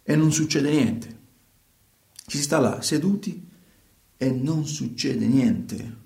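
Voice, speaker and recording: An actor playing a troubled working fisherman in a colloquial, halting radio monologue, repeating himself. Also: medium pitch, creaky, a little mellow, roomy sound. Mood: somber, subdued. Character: troubled working fisherman recounting life at sea. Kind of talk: theatrical radio monologue in conversational storytelling.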